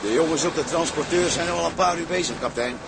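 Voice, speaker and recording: An actor playing a rough, loyal working sailor in a period sea drama. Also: male voice, on the high side, quick pace. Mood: friendly. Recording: loud boat engine and outdoor noise.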